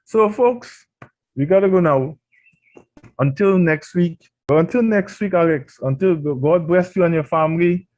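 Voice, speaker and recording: A man's voice: fairly high pitch, normal speed, a little mellow, rough recording. A man with a Trinidadian accent, preaching a radio sermon and pressing his points with emphatic rhetorical questions. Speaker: pastor.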